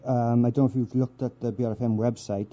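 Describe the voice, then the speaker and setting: Dark-voiced Welsh radio host, halting. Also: male voice, medium pitch, flat delivery, highs cut off. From Wales. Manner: hesitant, halting, heavy on ums and uhs. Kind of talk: casual radio interview.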